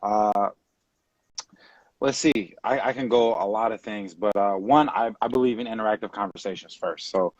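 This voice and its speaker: A man in his late twenties from California, talking casually as an interview guest on a video call, relaxed and rambling with many fillers. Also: on the low side, normal speed, no echo. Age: late 20s. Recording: dull dry video-call audio.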